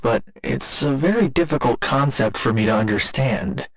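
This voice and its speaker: A man playing a gentle, earnest robot boyfriend in an ASMR roleplay, speaking in formal, measured, precise sentences. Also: mid-range voice, poor audio. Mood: puzzled, vulnerable. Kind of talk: ASMR roleplay, scripted intimate monologue.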